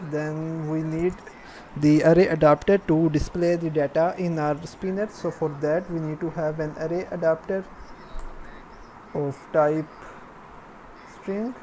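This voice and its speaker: Low, dark-voiced woman over noisy audio. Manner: halting, piecemeal phrasing while typing. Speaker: programming tutorial presenter. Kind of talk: step-by-step screencast narration of coding.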